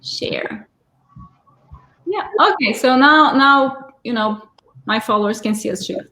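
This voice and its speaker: A woman's voice: fairly high pitch, slow, sharp tone. Woman in an echoey room. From Brazil. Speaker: artist. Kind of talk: casual live-stream chat. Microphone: video call.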